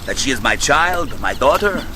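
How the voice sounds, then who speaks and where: A proud, grave rebel general, played by a man in a radio drama, commanding and measured with dry understatement. His delivery swings with lively inflection, and steady ambient sound effects run beneath him. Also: mid-range voice, background noise, close to an everyday voice. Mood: gentle, earnest. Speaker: army general leading a hopeless stand.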